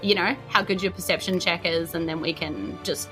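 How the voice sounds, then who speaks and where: A woman's voice: fairly low pitch, steady tone, normal speed, bright, forceful tone, low-quality recording. A woman with a New Zealand accent casually narrating a tabletop fantasy game as game master, with music behind her.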